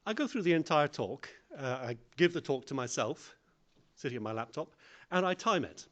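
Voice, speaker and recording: Male conference speaker, lively pitch swings, self-deprecating, plain-spoken. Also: normal speed. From English-speaking country. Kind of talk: lecture on public speaking.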